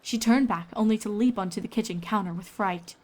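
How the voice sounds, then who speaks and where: A woman's voice: medium pitch, brisk. A woman reading scripted story narration as a fan fiction reader.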